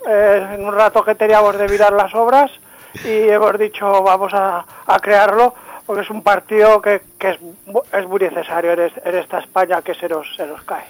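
A man voicing a pompous 98-year-old retired army officer from Spain, halting and repetitive in a satirical radio interview, with a Spanish accent. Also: high-pitched, level tone, lightly acted, low-quality recording. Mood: solemn, halting. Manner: halting, repetitive, solemnly formal.

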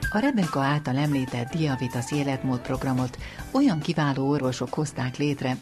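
A woman's voice: extremely low pitch, darkish tone. A woman radio presenter reading scripted narration for a science programme.

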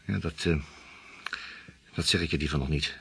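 A man's voice: rumbling low, moderate pace, vocal fry, bright, forceful tone, breathy edge, heavily acted. A man playing a determined, level-headed detective. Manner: earnest, reasoning aloud. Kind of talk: radio play acting.

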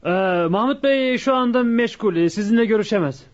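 A man's voice: very high-pitched, expressive, a little fast. An actor playing a deferential male gangster's underling in a radio play, hesitant and full of ers. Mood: nervous, deferential. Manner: hesitant, stammering fillers. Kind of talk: Turkish radio theater acting. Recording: dull lo-fi radio recording.

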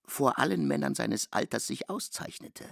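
A man's voice: medium pitch, lively intonation, normal speed, creaky, a bit bright, no room sound, clean studio sound, exaggerated voice. A man performing as a storyteller in a radio play, relaying dialogue in literary prose. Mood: intent.

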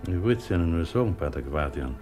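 Humble monk, dark soft-edged voice, deferential but wont budge, radio play, bit of music. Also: male voice, low-pitched, lively intonation, fairly quick. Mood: humbly insistent. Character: humble, plain-spoken monastery porter brother. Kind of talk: German radio play acting.

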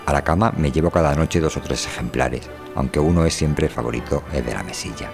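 A man reading a scripted first-person literary piece as a voice performer, in a measured and wry manner. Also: a bass voice, creaky, over music. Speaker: voice performer reading an audio fiction.

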